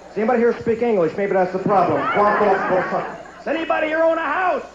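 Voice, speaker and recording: Male standup comic, high-pitched and emphatic, drawn-out punch lines, roomy lo-fi recording. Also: expressive, over a noisy crowd, very noisy. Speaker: standup comedian. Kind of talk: standup comedy routine. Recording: dull lo-fi echoey archive TV recording, music.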